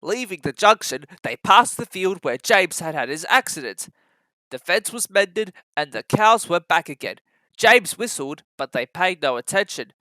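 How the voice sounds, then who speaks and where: A storyteller narrates a children's tale from a script. The voice is bright and animated, with middling pitch that moves freely. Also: no echo.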